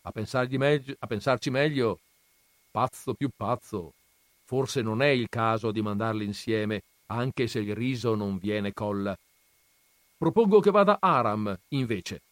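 A man's voice: fairly low pitch, a little slow, no room sound, lightly acted. Male reader as curt middle-aged uncle, grudging, dry close read.

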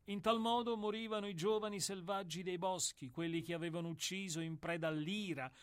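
An actor in a radio play playing an old, world-weary executioner who speaks in a deliberate, declamatory way with weighted pauses. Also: male voice, high voice, fairly even intonation, smooth, natural voice. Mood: reflective, confessional. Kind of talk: dramatic radio play monologue.

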